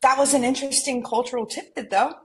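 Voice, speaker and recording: A woman's voice: sits high, fairly even intonation, fast, a bit bright, slightly rough, exaggerated voice. A woman playing a playful, curious millennial twin sister with a mystical streak, in a romcom table read. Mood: light, curious.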